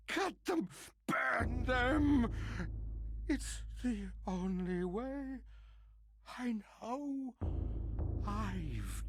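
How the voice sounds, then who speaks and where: Game villain: paranoid, murderous madman, slow and theatrical, pitch veering wildly from whisper to wail, noisy audio. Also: heavily acted. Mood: frenzied, murderous. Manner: theatrical, unhinged, swinging from hushed whispers to wailing cries. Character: paranoid, deranged murderous man confessing his killings. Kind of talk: dramatic video game monologue.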